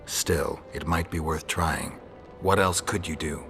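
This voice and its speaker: World-weary monster hunter, very low pitch, calm, cynical, deadpan, fantasy game dialogue, soft music behind. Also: male voice, exaggerated voice. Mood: measured persuasion. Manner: measured, deadpan, wry. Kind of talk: dramatic video game acting.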